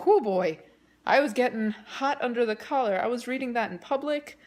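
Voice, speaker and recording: A woman's voice: a little high, normal speed, darkish tone. A woman chatting casually to camera as a book vlogger, slangy and opinionated in how she talks. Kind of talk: casual vlog chat to camera.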